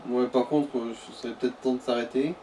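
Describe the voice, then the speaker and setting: Mellow man, distant from the mic. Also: mid-range voice, steady tone, normal speed. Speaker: co-commentator. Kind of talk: casual chat over gameplay. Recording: distant mic with faint background noise.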